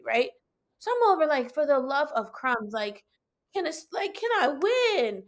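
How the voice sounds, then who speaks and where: A woman with a high-pitched voice telling a personal story in casual, animated conversation, acting out her own reactions as she goes. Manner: animated, colloquial, acts out own quoted reactions. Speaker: podcast guest telling own experience.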